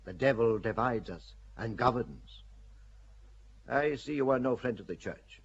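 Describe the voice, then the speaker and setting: Male actor: calculating bishop, husky, measured and persuasive, muffled radio audio. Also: mid-range voice. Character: shrewd older churchman, a bishop, calculating.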